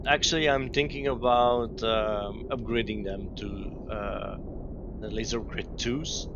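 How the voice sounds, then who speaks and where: A man from Belgium streaming a game, explaining his setup in halting speech full of fillers and restarts. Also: on the low side, steady tone. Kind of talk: live-stream gameplay commentary. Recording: dull lo-fi mic with clear noise.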